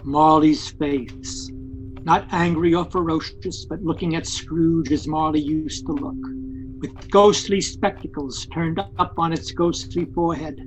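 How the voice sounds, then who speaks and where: An actor as the narrator of an audio play, telling the tale in a dramatic scripted storytelling style. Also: male voice, on the high side, fairly even intonation, a little slow, a little hiss or hum, lightly acted, poor audio. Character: male storyteller of a Christmas ghost tale.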